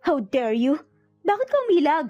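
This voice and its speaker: A woman's voice: high-pitched, animated, moderate pace, mellow, dull audio, faint music behind, pitched above the performer's own voice. A woman voicing a haughty, jealous rich young woman in a romance audio drama, demanding and indignant. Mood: angry, indignant.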